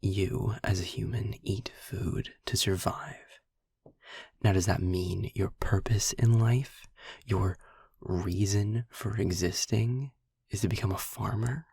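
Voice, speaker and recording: A man playing a sarcastic, philosophical demon in an intimate audio roleplay, speaking deliberately in a husky, breathy half-whisper with a frustrated but principled air. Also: on the low side, steady tone, a little mellow, no echo, full character voice. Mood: patient, persuasive. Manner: sardonic, deliberate, ranting with pauses and sighs.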